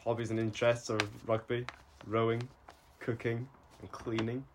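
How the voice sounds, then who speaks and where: A man's voice: mid-range voice, monotone, normal speed, a little hiss or hum. A twenty-year-old man from Scotland chatting casually as a podcast host, in a joking, self-deprecating way. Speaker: podcast host and architecture student. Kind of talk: casual banter among friends.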